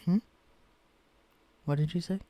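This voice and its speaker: An actor playing a shrunken man who is tender but guarded, talking in an earnest, vulnerable, softly confessional way in a scripted audio drama. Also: a little high, fairly even intonation, unhurried, natural voice. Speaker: romantic lead in an audio roleplay.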